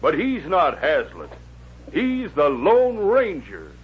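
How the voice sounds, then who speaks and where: An actor playing a dry, authoritative, husky Old West sheriff in a 1950s radio drama. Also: male voice, fairly high pitch, wildly swooping pitch, slow, heavily acted. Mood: stern, wry. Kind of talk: 1950s radio western acting. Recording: muffled old radio recording.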